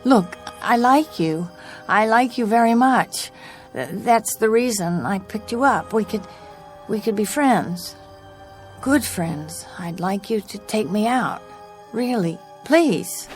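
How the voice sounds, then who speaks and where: A woman's voice: mid-range voice, expressive, takes it slowly, faint music behind. An actress playing a haunted, desperate young woman driver in a radio drama, earnest and anxious in her delivery. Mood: desperate pleading. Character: desperate, haunted young woman driving cross-country alone.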